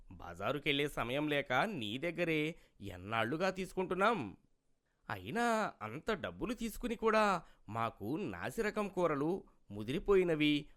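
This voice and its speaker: A man's voice: a little high, a bit bright. A man voicing an indignant customer who scolds a cheat in a cartoon moral story, reproachful and lecturing. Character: indignant male customer teaching a cheat a lesson.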